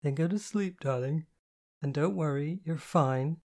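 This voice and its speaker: A narrator voicing a sleepy, kind woman who reassures and gently takes command in an audiobook story. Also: mid-range voice, a little slow, close to an everyday voice. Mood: reassuring, commanding. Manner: drowsy, reassuring, evenly commanding.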